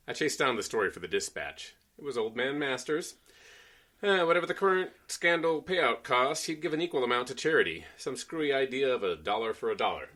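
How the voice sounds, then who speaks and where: A man playing a brisk, skeptical, wry newspaper reporter in an old-time style radio play. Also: mid-range voice, sharp tone.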